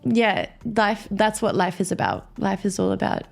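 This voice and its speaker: A woman's voice: medium pitch, very animated, moderate pace, creaky. A woman in her twenties with an Australian accent, chatting as an interview guest in an upbeat, reflective way. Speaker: guest dancer. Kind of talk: casual interview chat.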